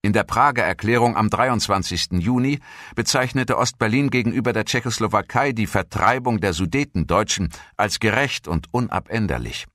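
A man reads scripted documentary narration in a measured, formal way. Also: on the low side, animated, a bit bright, no echo, studio quality.

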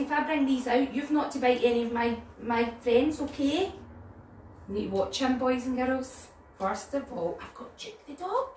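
A middle-aged woman with a Northern Irish accent leading story time for young children in an animated way. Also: on the high side. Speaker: nursery storyteller. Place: living room at home. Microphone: camera a few metres away. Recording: roomy, distant mic, background noise.

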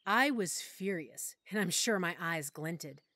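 A woman narrating a full-cast audiobook in first person, with expressive, clear storytelling. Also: lowish voice, slow pace, no room sound. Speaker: narrator and lead character.

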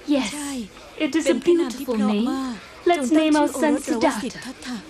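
High-voiced gentle queen. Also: takes it slowly, crowd noise. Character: gentle young queen, earnest and dignified. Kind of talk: animated film voice acting.

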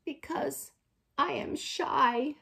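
A woman's voice: high voice, unhurried, a bit bright, voice pushed up. A woman voicing a shy, apologetic owl in a children's storybook, in a bashful manner. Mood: timid. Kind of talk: children's storybook read-aloud.

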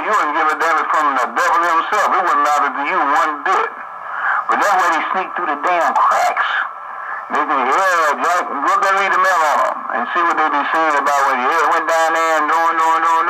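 A talkative man chatting casually and folksily over CB radio, often repeating his phrases. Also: fairly high pitch. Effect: CB radio transmission. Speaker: CB radio operator. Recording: noisy CB radio through a speaker.

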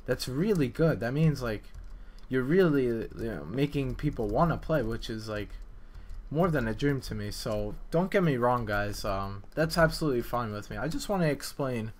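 A man's voice: medium pitch, expressive, moderate pace, darkish tone. A man giving casual, hesitant gameplay commentary in a rambling, self-deprecating way, as the developer of a game map. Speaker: map developer narrating a dev vlog.

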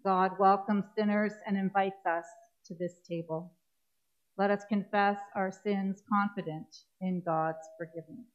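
A middle-aged woman priest reads the liturgy in a slow, solemn and measured voice. Her pitch sits at an ordinary middle height, and the sound is dull and lo-fi, as on a church livestream. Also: steady tone. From Canada. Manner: measured, solemn intoning of set prayers. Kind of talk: liturgical reading. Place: church sanctuary with congregation.

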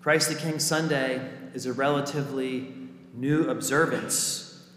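A man around his thirties or forties with a slightly raspy voice, preaching as a pastor in an informal, lightly joking way. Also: mid-range voice, fairly bright tone. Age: 30s or 40s. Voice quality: a little raspy. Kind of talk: sermon and children's message in church. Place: inside a church during a service. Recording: echoey church hall, noisy, long reverb.

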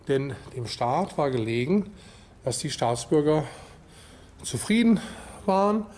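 A man explaining as an interviewed expert, in a measured, didactic way. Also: medium pitch, darkish tone. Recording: background noise and location ambience.

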